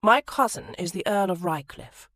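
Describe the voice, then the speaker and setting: A woman's voice: mid-range voice, normal speed, a bit bright, no echo, studio quality, voice pushed up, natural voice. A woman voicing a stern, commanding young aristocrat in an audiobook, speaking in firm, clipped commands.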